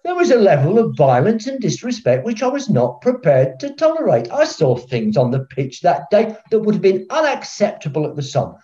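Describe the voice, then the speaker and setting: An actor playing a pompous, eccentric veteran football referee, theatrical and self-righteous, on a video call. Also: male voice, high voice, huge pitch swings, moderate pace, hardly any pauses, dark tone. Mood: indignant. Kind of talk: filmed comedy acting on a video call. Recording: dull, lo-fi video call audio.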